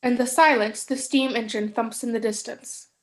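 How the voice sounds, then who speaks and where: High-voiced young woman reading stage directions. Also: steady tone, normal speed. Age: young adult. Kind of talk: scripted narration of a staged reading.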